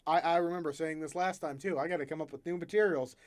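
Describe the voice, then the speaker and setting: A young man from the American South who speaks with no Southern twang at all. His talk is relaxed and rambling, full of fillers, restarts and easy laughter. The sound is close and dry, with no room echo. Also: a little high, fast. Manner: relaxed, chatty, laughs often, many fillers. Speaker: podcast host. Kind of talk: casual chat.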